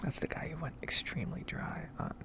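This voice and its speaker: A woman speaking in a soft, breathy whisper, rambling casually in an ASMR gameplay style, close to the mic with typing and clicking behind her. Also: brisk. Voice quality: breathy, soft-spoken whisper with heavy vocal fry. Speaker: gaming streamer and ASMR creator.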